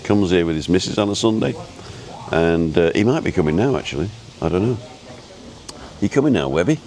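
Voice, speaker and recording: A man with an easygoing, chatty and halting way of talking, casually rambling as he hosts a live vlog. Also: sits low, expressive, darkish tone. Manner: easygoing, chatty, halting as he reads and answers viewer comments. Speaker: live-streaming vlogger and host. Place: outdoors at a restaurant overlooking the road and ocean.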